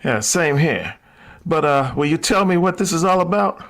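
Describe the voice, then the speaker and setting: Man playing cocky young radio-drama crook, gravelly, animated pitch, flippant, devil-may-care. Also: normal speed. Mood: cocky, wary. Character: reckless doomed young man, cocky thrill-seeking diamond thief. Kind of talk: vintage radio drama acting.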